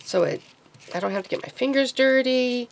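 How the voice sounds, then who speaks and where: A woman talking through a crafting project at her home table, chatty and meandering, often correcting herself. She has been sick, so her voice sits low and gravelly with vocal fry. Faint background noise runs under the recording. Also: moderate pace. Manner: chatty, rambling, self-correcting. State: sick with a deepened voice. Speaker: craft vlogger. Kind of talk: casual craft tutorial.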